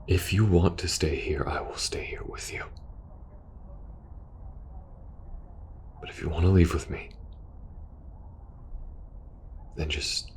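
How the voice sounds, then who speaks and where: Man acting a low-voiced, war-weary soldier. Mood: tender, earnest. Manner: hesitant, earnest, hushed at times. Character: war-weary young male soldier pleading with best friend, protective, earnest. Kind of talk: intimate ASMR audio roleplay.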